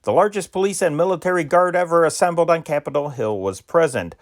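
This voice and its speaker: A man's voice: on the high side, quick pace. A man reading old newspaper news dispatches aloud in an amateur style, with occasional slips and restarts. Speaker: reader of historic news dispatches.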